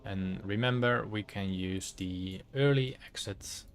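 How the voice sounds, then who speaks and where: A man with a Dutch accent narrates his gameplay at a relaxed pace, often pausing to search for words. His voice is low. A faint hiss sits behind it. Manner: halting, many fillers, searches for words. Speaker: streamer. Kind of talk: casual gameplay commentary.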